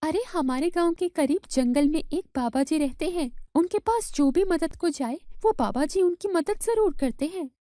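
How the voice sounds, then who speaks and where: A woman narrator puts on an eager, helpful village woman in a storybook tale. The voice is pitched well up and emphatic, recorded dry and close. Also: animated, moderate pace, few pauses, dark tone, no room sound. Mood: eager, encouraging.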